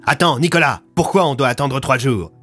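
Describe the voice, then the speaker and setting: A man's voice: mid-range voice, lively intonation, fairly quick, a bit bright, pitched above the performer's own voice, natural voice. A man playing a resolute, self-sacrificing hero in an audio drama, speaking firmly and earnestly. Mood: determined. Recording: music under the voice.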